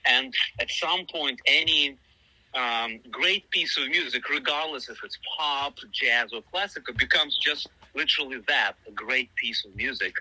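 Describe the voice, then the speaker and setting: A middle-aged man whose first language is Russian talks through a question at length, circling back with fillers and restarts. His voice sits at a middle pitch with a sharp, bright edge. The recording is close and very dry. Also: a little slow, slightly rough, no echo, poor audio. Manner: thoughtful, rambling, many fillers and restarts. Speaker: musician guest. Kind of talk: casual interview answers.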